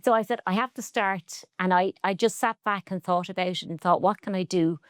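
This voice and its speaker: A woman's voice: mid-range voice, brisk, smooth, no room sound, studio quality. A middle-aged woman with a Cork Irish accent, a textile artist talking earnestly and reflectively in an interview. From Ireland. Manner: earnest, reflective, speaks with pride about heritage. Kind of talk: relaxed interview conversation.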